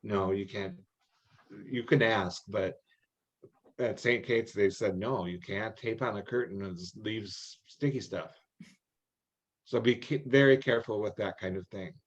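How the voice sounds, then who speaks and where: Older man, low-pitched, informal meeting presenter, halting and anecdotal, muffled lo-fi call sound. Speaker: meeting presenter answering questions on theater contest rules. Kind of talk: informal explanation in an online meeting. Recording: dull, lo-fi online call audio.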